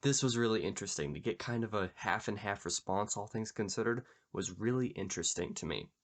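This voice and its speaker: Low-voiced man, slightly gravelly. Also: fairly quick. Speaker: YouTube book channel host. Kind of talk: casual book discussion to camera.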